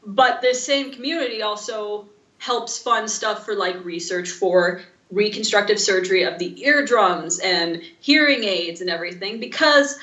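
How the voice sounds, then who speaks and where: A woman's voice: mid-range voice, measured pace, sharp tone. A woman co-hosting a podcast in casual chat, with slightly muffled, echoey sound. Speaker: podcast co-host.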